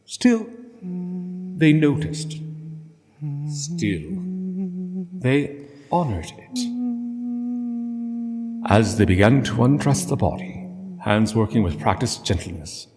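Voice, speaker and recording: A man narrating a literary story solemnly, in measured short phrases with dramatic pauses. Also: mid-range voice, very animated, darkish tone. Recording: long reverb, music bed. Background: music.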